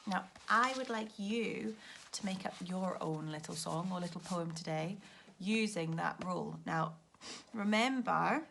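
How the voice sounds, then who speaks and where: A woman's voice: fairly low pitch, a little slow, creaky, fairly bright tone, a little hiss or hum. A woman primary school teacher explaining a task to children, often asking simple questions to check they follow. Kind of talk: read-aloud lesson explaining a task to children.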